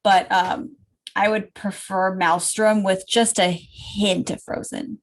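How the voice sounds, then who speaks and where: A woman's voice: medium pitch, steady tone, slow pace, slight background noise. A woman co-hosting a podcast in casual chat, rambling with many fillers and restarts. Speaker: podcast co-host.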